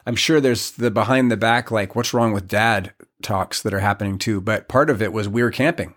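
A man in his forties, a guest on a podcast, talking in a reflective, halting way with many restarts. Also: lowish voice, a bit bright. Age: 40s. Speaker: podcast guest, community leader. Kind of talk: casual podcast conversation.

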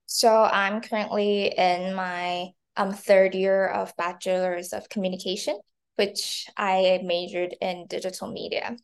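A woman's voice: medium pitch, level tone, measured pace. A woman in her early twenties from Taiwan and China, chatting through casual interview answers and often saying "like". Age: early 20s. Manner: chatty, frequent "like". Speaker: interview guest, marketing intern and university student.

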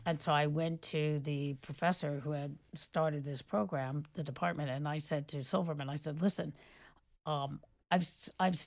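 A middle-aged woman, a photographer and filmmaker, telling long stories in a relaxed interview, rambling with many restarts and "you know"s. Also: low-pitched, gravelly. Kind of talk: relaxed conversational storytelling.

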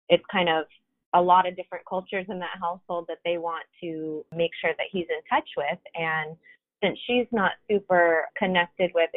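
A woman's voice: on the low side, no echo. A woman, a guest, chatting in a rambling, casual way. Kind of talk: casual conversation. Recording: tinny phone line, dry.

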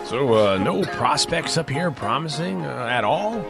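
An actor playing a nervous but persistent young reporter in a western audio drama, halting and pleading. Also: male voice, a little high, animated, creaky. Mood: hesitant. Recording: music behind the voice.

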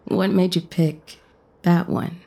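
A woman acting a wry, self-deprecating, flirtatious character in an audio romance, with a casual, hesitant, creaky delivery. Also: on the low side, level tone, slow, dark tone. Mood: awestruck.

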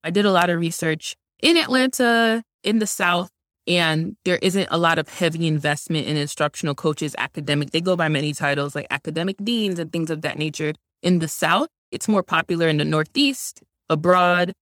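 A woman's voice: deep voice, normal speed, fairly bright tone, no room sound. Guest voice: young woman, native Haitian Creole speaker, earnest and chatty, dry recording. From Georgia, US. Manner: earnest, explanatory, uses "like" often. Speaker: guest, science instructional coach. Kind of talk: conversational interview answers.

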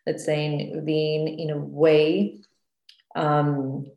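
A woman from Costa Rica, a guest speaking reflectively about her past in a relaxed interview. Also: low voice, extremely slow, muffled recording. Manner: reflective, frequent "let's say" and "like" fillers. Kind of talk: casual interview conversation. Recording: echoey room.

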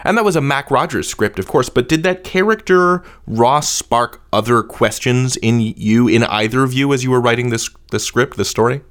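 Medium-pitched man hosting a conversational interview. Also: normal speed, hardly any pauses. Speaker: interviewer, host.

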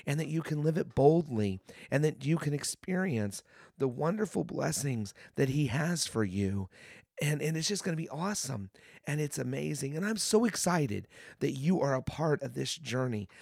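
A man with a hoarse voice from a cold, hosting a devotional podcast in an earnest, encouraging way and often repeating his phrases. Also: fairly high pitch, darkish tone, no room sound, clean studio sound. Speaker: podcast host.

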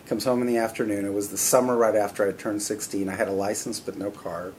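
A middle-aged man from California, a company CEO telling stories in a relaxed, conversational way as an interview guest, often pausing with "uh" and "you know". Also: on the low side, slight background noise, rough recording. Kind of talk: casual interview storytelling.

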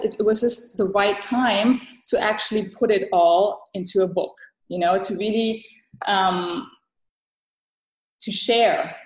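A woman from Thailand, a guest author speaking in a podcast interview, thoughtful and halting with many fillers and restarts. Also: on the low side, roomy sound, rough recording.

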